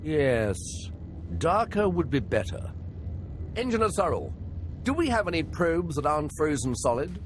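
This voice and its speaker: Game role: chatty scholar-lieutenant, lightly high pitch, swooping intonation, keen and courteous. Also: male voice, sing-song, measured pace, a little hiss or hum. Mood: eager, musing. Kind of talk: video game voice acting.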